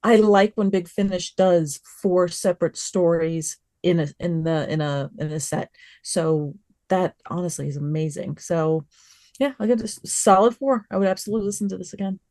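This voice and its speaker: Female podcast panelist, slightly low voice, dry sound, emphatic conversational delivery. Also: moderate pace, mellow, dry recording. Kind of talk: casual review chat.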